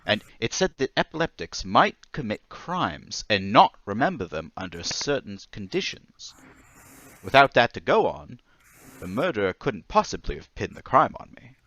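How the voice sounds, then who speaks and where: An actor playing a meek, awkward suspect in an old-time radio mystery, fussy, halting and excitable. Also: male voice, lowish voice, no room sound, lo-fi sound. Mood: indignant. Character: meek, awkward, lovestruck male murder suspect.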